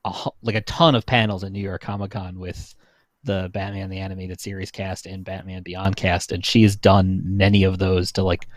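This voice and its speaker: Easygoing American man, lowish voice, dry sound. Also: level tone, moderate pace, dry recording. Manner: explanatory, easygoing. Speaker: podcast co-host. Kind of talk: casual livestream chat.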